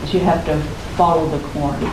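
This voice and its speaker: An older woman with a low, soft voice giving an unhurried bookstore talk and reading aloud from her book. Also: fairly even intonation. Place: bookstore event room. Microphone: room recording from a distance. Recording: roomy, distant, noisy live recording.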